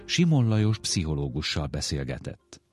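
Mély hangú férfi rádiós narrátor, zenei aláfestéssel. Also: male voice, rumbling low, sing-song, slightly rough. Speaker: programme narrator and announcer. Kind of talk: scripted radio narration. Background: music.

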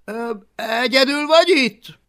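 A man voicing a brash, cheeky young Viking boy who talks in a loud, excited way. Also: very high-pitched, clean tone, pitched above the performer's own voice. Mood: polite.

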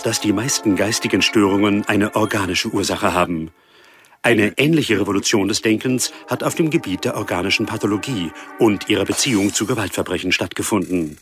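An actor as a low-voiced, authoritative male lecturer. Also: a bit bright, close to an everyday voice. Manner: formal, didactic. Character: authoritative male lecturer voicing an official scientific report. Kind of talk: radio drama scripted exposition.

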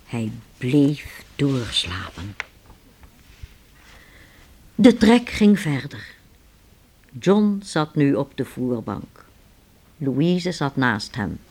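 A narrator telling a scripted story for a radio play in a measured storytelling manner. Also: mid-range voice, darkish tone.

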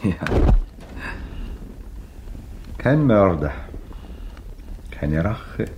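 An actor playing a courteous, pensive aristocrat of 1914 Vienna in a German radio play, speaking deliberately. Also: male voice, fairly low pitch, a little mellow, heavily acted. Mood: brooding. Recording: light background noise.

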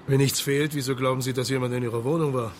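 An actor playing a calm police marshal in a radio crime drama, questioning in a measured, probing, placating way. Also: male voice, medium pitch, a little hiss or hum, close to an everyday voice. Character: patient, calm, reassuring Italian police marshal.

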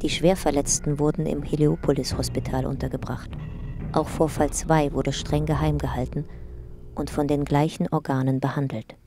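An actress playing a sober documentary narrator, reading files in a factual, detached manner in a radio drama. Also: female voice, sits low, level tone, moderate pace, warm tone. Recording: music behind the narration.

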